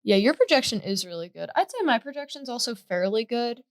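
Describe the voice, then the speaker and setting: Teenage girl chiming in quickly. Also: on the high side, expressive, dry recording, clean studio sound. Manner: quick reactions, chiming in with agreement and disagreement. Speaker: podcast co-host. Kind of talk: casual chat.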